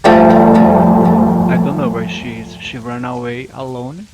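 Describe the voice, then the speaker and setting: A man voicing a hesitant, soft-hearted high school boy in a video game, speaking a little defensively. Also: big pitch swings, slow, dull audio, over loud music. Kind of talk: English video game voice acting.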